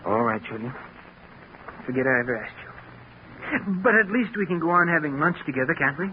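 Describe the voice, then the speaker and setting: A man's voice: fairly high pitch, expressive, moderate pace, natural voice. An actor playing a lovelorn, unhappily married middle-aged man with earnest, melodramatic delivery in 1940s radio style. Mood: pleading. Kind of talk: 1940s radio drama acting. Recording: scratchy, dull 1940s radio recording.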